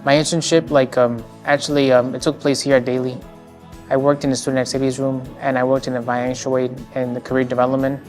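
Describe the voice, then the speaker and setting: A man's voice: mid-range voice, level tone, fast, a little mellow, faint music behind. A young man in his twenties telling about his program hesitantly, with many fillers. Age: 20s. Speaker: program student.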